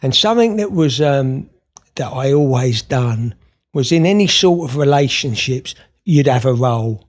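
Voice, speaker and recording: Candid man with rambling, halting speech. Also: medium pitch, expressive. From Scotland. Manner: rambling, open, many fillers and restarts. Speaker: podcast guest, therapist and author. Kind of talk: conversational interview.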